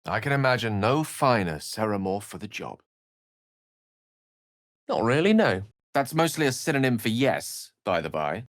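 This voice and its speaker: Casting: wry, bookish wizard for a fantasy game; lowish pitch, very expressive range, articulate, theatrical, recorded dry. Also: male voice, normal speed, no echo. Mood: dry amusement. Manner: articulate, theatrical, verbose phrasing. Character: eloquent, wry, scholarly male wizard adventurer. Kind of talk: fantasy video game voice acting.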